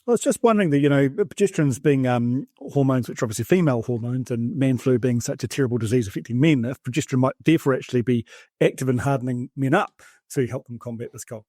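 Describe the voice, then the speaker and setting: A man co-hosting a medical podcast, teasing in a dry, deadpan way. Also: medium pitch, fast, no room sound. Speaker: oncologist co-host. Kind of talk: casual chat.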